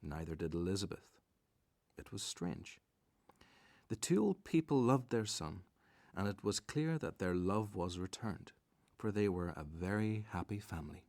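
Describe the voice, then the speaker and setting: A man's voice: low-pitched, expressive, a little slow, a little mellow, dry recording. A man narrating a scripted radio drama as a storyteller.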